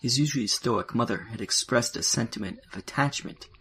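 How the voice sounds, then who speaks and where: A man's voice: mid-range voice, a little fast, fairly bright tone. A man reading scripted narration as the narrator of a science-fiction audio drama. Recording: faint background noise and ambience.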